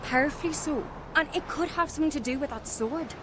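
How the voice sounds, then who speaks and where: Higher-voiced, headstrong young noblewoman played by a woman. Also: fast, background noise, lightly acted. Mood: earnest, convinced. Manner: frank, earnest storytelling. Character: earnest, headstrong young island noblewoman from a fantasy realm. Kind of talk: video game cutscene acting. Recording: music behind the voice.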